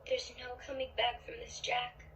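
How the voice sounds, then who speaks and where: Woman acting bitter doomed girlfriend, highish voice, far from the mic, some noise behind. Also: monotone, normal speed, a bit bright, lightly acted. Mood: cold disdain. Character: bitter, resolute young ex-girlfriend, disdainful and doomed.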